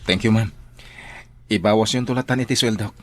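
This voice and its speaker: Casting: man playing a respectful Filipino employee, lower register, radio drama. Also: a little fast, a bit bright, no room sound, natural voice. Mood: deferential. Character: deferential male worker addressing his female boss. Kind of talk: Ilocano radio drama acting.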